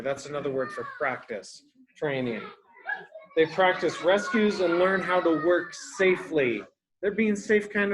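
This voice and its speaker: Man, early education teacher, unhurried pace, read-aloud style, simple repetitive explanations, questions for young listeners. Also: a little high, low-quality recording.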